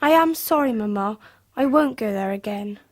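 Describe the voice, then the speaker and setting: A very high, animated young girl's voice with an upper-class English accent. She is earnest, questioning and anxious, a headstrong child of a Victorian radio drama. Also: moderate pace, dry recording, studio quality. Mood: meek. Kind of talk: 1990s radio drama acting.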